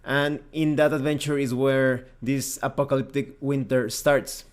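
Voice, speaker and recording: A man's voice: mid-range voice, little pitch movement, unhurried, clear, resonant tone. A man presenting a review in an explanatory, methodical way, as the host of a tabletop game channel. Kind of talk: semi-scripted review narration, explanatory.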